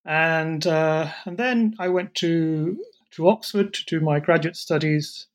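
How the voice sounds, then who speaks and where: A man's voice: fairly high pitch, slow. A middle-aged man, a historian raised in France and the UK, answering interview questions in a thoughtful, slightly hesitant way. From born in Peru, raised in France and the UK.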